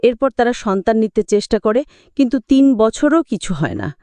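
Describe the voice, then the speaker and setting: Female movie-recap narrator, smooth and resonant, dry studio sound, dramatic scripted storytelling. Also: on the high side, very animated, normal speed, dark tone, dry recording.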